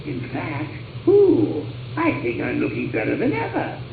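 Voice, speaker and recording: A gleeful clown villain taunts like a showman. His high voice swoops all over the place. It comes through tinny and distant, with echo and a steady noise behind it. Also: a little slow, creaky, full character voice. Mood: showy. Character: gleeful, murderous grown man clown criminal. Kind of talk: video game voice acting.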